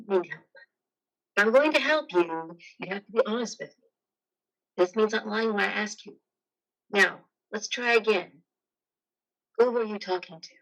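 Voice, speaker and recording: A woman playing a firm, probing psychiatrist in a play reading, measured and professional. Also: mid-range voice, a bit bright, natural voice, low-quality recording. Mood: calm, firm. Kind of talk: live amateur play reading.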